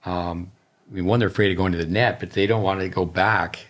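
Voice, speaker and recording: A middle-aged man with a very low voice, co-hosting a casual tennis podcast. He rambles and rattles off ideas in quick lists. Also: fairly even intonation. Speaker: tennis coach, podcast co-host.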